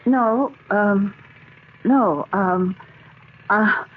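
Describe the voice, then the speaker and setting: A woman playing a frightened, hesitant wife held captive in a 1970s radio drama, with a breathy voice. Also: medium pitch. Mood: nervous, evasive. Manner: hesitant, halting, nervous. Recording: muffled 1970s radio recording.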